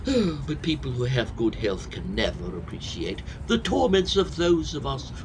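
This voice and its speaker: A man's voice: medium pitch, sing-song, normal speed, slight background noise, close to an everyday voice. A man acting a fussy, self-pitying older hypochondriac professor in a comic period farce, lamenting in florid theatrical style.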